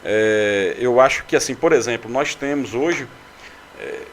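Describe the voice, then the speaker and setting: A man's voice: medium pitch, a little slow, a little hiss or hum. A man from Brazil, a city councilman and candidate, answering radio interview questions with many fillers and restarts. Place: local radio studio.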